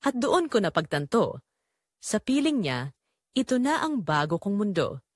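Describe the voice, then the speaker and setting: A woman's voice: mid-range voice, very animated, unhurried, no echo, clean studio sound. A woman with a Filipino accent narrating a scripted first-person drama in an earnest, emotive way.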